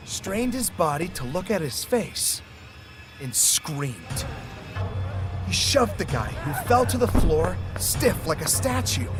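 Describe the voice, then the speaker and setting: A young man narrating a scripted first-person horror story, with music behind him. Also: on the high side.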